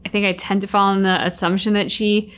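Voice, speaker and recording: Woman chatting on a reaction vid, normal mid pitch, casual. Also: flat delivery, a little fast, a bit bright. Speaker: reaction video co-host. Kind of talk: casual chat.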